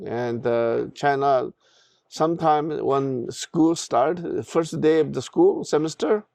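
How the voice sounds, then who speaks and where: A middle-aged man with a Tibetan accent, speaking Tibetan as his first language, answers interview questions at a very unhurried pace. His voice carries a gravelly rasp, and the recording sounds dry and close. He talks haltingly, restarting phrases and pausing often. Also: mid-range voice, a little mellow, no room sound. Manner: halting, many restarts and fillers. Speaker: podcast guest.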